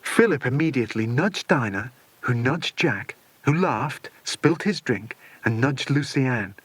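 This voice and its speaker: A man narrating a children's adventure audiobook in an expressive scripted reading. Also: mid-range voice, a little slow, slightly rough, dry recording.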